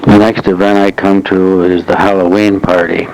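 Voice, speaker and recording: An elderly man recounts local club history in measured, halting phrases, as in a slow oral history. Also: deep voice, little pitch movement. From United States, upstate New York. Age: 70s or older. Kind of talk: slow oral history reminiscence, partly as if from notes. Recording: noisy old reel-to-reel tape transfer.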